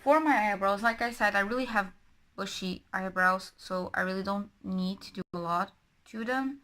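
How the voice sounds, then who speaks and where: A woman's voice: medium pitch, normal speed, fairly bright tone, a little hiss or hum. A young woman from Portugal, chatting casually and rambling with many self-corrections while doing her makeup. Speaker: book and beauty vlogger.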